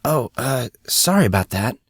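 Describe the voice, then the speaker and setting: A man's voice: mid-range voice, sing-song, moderate pace, slightly rough, no echo, clean studio sound. A man voicing an awkward, earnest fourteen-year-old schoolboy with an eager manner in an audiobook drama. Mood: embarrassed.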